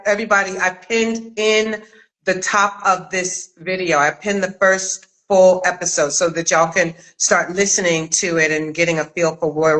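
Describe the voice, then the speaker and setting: Casting: middle-aged woman, chat host, bright forward voice, slow and halting delivery. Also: lowish voice, rough recording. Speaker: interview host and director. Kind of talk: casual live chat interview.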